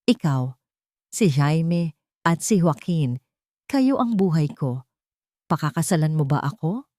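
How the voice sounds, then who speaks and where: A woman's voice: low-pitched, expressive, slow, mellow, no room sound, clean studio sound. A woman storyteller voicing a devoted, earnest widowed father in an audio drama, with a tender, solemn delivery. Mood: earnest, loving.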